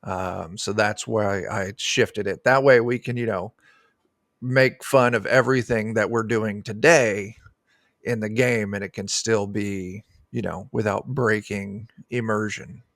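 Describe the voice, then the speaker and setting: A man's voice: lowish voice, steady tone, unhurried, fairly bright tone, no echo. A man in his thirties or forties, a game designer, explaining casually as an interview guest into a close microphone. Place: indoor room, video call. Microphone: close desk microphone.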